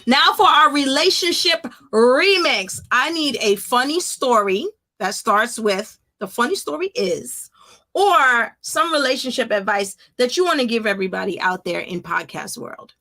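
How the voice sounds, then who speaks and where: Bright, high-voiced woman hosting a podcast. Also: a little slow. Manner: expressive, informal, slangy. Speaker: podcast host. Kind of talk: casual interview chat.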